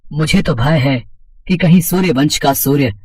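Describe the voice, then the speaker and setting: A man's voice: fairly high pitch, normal speed, a little mellow, husky. A man playing a reverent, worried king, speaking in formal, earnest declamation in a mythological story dramatization. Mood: worried, sorrowful.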